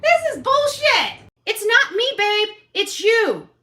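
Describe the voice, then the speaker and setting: A woman's voice: very high-pitched, big pitch swings, takes it slowly, pushed and bright. A woman ranting casually in a blunt, defensive, profane manner on noisy livestream audio.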